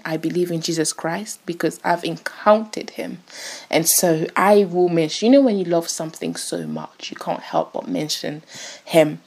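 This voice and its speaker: Low husky-voiced giggly young woman. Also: a little mellow. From France. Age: 20s. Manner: chatty, giggly, self-deprecating. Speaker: podcast host. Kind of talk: casual solo podcast intro.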